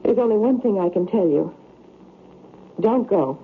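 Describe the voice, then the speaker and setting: An actress playing a troubled, insistent psychiatrist in an old radio drama, speaking earnestly and precisely. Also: female voice, mid-range voice, lively intonation, measured pace. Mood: grave, uneasy. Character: troubled, insistent female psychiatrist haunted by fatal dreams. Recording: narrow, noisy vintage radio broadcast.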